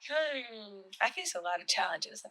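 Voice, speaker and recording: A young woman from Jamaica, a fashion model, answering interview questions in a relaxed way with fillers and soft laughs. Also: medium pitch, unhurried.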